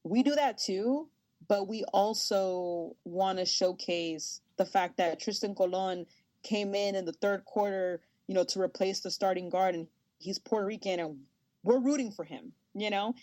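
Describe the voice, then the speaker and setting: A young woman of Salvadoran family who grew up speaking Spanish first, telling stories in an animated, chatty way with many fillers, as the guest in an interview. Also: mid-range voice, normal speed, no room sound. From United States, Salvadoran family. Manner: animated storyteller, lots of fillers and laughs. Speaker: guest, sports journalist and host. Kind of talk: casual interview talk.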